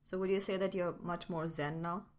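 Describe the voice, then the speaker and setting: A woman's voice: on the low side, fairly even intonation, a little fast. A woman hosting a podcast, asking questions and giving brief encouraging replies. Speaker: podcast host. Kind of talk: interviewing.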